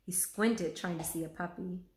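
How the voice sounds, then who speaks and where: A woman reading a children's storybook aloud with expressive narration. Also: fairly low pitch, normal speed. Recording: roomy, echoing room sound.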